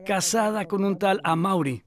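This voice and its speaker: A male voice actor plays an elderly philosopher in a dubbed interview. His voice sits high for a man. He talks reflectively and modestly, with small hesitations, as if in conversation. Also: normal speed, close to an everyday voice. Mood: reminiscing. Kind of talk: Spanish voice-over dub of an interview.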